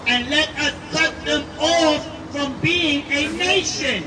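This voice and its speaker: Slow high-voiced scripture reader. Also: male voice. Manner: slow, measured reading. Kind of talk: reading scripture aloud. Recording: distant, echoey, with street noise.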